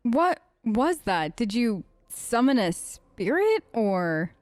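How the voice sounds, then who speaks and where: A woman's voice: a little high, very animated, slow, darkish tone, dry recording. A woman improvising in character for a video game let's play, chatty and hesitant, with an awkwardly polite manner.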